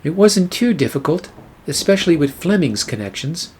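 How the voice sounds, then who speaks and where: Higher-pitched husky corrupt captain played by a man. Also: sing-song, moderate pace, a little mellow, heavily acted. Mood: regretful. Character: regretful, corrupt army captain betraying his old friend. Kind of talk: noir radio play acting.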